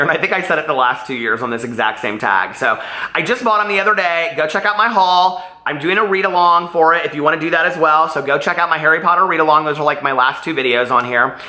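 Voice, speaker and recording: A man's voice: a little high, bright, forceful tone, echoey room. A man chatting casually and animatedly as a book vlogger, rambling off on tangents.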